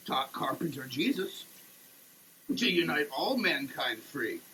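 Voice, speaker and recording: A man giving a dramatic, preachy reading with emphatic pauses, like a sermon. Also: a little high, fairly bright tone, slight background noise, low-quality recording. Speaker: sketch performer. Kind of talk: dramatic reading of a soap label, declaimed like a sermon. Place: shower, per the page.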